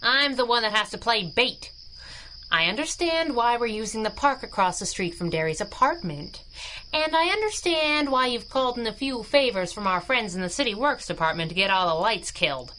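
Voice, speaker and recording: Plucky female crime-fighting sidekick for period radio serial: high, sharp voice, saucy wisecracks, slightly noisy recording. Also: animated, moderate pace. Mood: sassy, indignant. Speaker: voice actor in a radio drama. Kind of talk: 1930s-style adventure radio acting.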